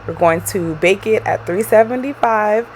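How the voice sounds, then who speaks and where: A woman's voice: medium pitch, moderate pace, a little mellow, a little hiss or hum. A woman hosting a cooking show, narrating a recipe in a chatty, upbeat way with casual slang and frequent laughs.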